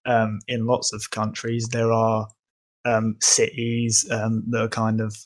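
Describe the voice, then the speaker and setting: A man's voice: fairly low pitch, completely flat delivery, slow. A young man from England, a podcast guest, talking casually and hesitantly with many ums and restarts. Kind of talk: casual conversation.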